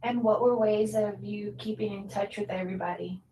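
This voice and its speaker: A woman interviewing her husband live, asking him gentle questions. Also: mid-range voice, steady tone, fairly quick, far from the mic, a little hiss or hum, poor audio. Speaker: interviewer, wife. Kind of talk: casual live chat.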